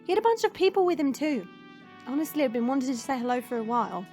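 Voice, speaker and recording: Woman as a high-voiced, brash teasing vampire girl. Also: big pitch swings, fast, darkish tone, faint music behind. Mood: cheeky. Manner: sarcastic, playful, casual. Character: brash, teasing female vampire, cheeky and restless. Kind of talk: audio roleplay acting.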